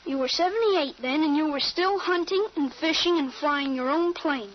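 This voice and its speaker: A woman's voice: sits high, moderate pace, natural voice. An actress playing an earnest, assured thirteen-year-old boy with a grown soldier's mind in an old-time radio drama. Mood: grave, determined. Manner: assured, insistent, explanatory. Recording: muffled, noisy old radio recording.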